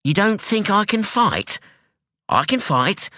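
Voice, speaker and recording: Casting: man doing a blunt, defiant young woman in an audiobook, raised and lively, close dry sound. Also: high-pitched, takes it slowly, dry recording, pitched above the performer's own voice, full character voice. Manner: blunt, challenging, insistent. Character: sharp, defiant young woman, street-tough daughter. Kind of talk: audiobook dialogue.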